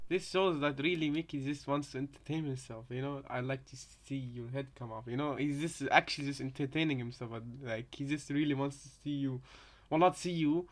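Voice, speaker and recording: A man's voice: medium pitch, a little fast. A man chatting casually as a reaction co-commentator, rambling and circling back over his own points. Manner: rambling, repeats points, often adds "you know". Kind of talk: casual chat, speculative commentary.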